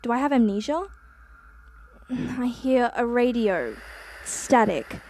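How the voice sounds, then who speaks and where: A woman's voice: a little high, animated, takes it slowly, darkish tone, slight background noise. A woman acting a naive, breezy young influencer-type reporter in a radio play, with chatty phrasing. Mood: dazed, pained.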